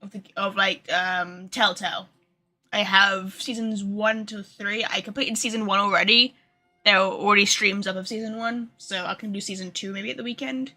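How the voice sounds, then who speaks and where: Bright-voiced woman streamer, casual and rambling. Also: medium pitch, fairly even intonation, moderate pace, faint music behind, poor audio. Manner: rambling, informal, sweary. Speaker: video game livestreamer. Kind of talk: casual livestream chat to viewers.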